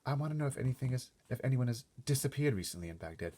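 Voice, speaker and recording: Storybook queen done by a man: raised pitch, husky, imperious, brisk and matter-of-fact. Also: lively intonation, dark tone. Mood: brisk, resolute. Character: commanding, brisk, unflappable Queen of England. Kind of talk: storybook read-aloud.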